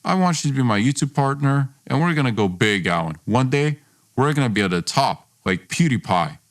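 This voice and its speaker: Man doing an eager, lively young buddy. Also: medium pitch, fairly quick, close to an everyday voice. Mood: earnest, hopeful. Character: eager, easygoing young male best friend with big dreams.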